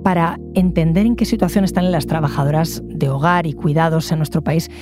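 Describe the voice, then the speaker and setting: A woman hosting a news podcast, reading a measured, clear script over a music bed. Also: low-pitched, fairly even intonation.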